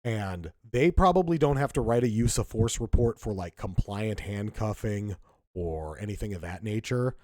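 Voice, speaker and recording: A man's voice: lowish voice, expressive, moderate pace, mellow, dry recording. A man co-hosting a podcast, giving emphatic, indignant political commentary with frequent restarts. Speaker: podcast co-host.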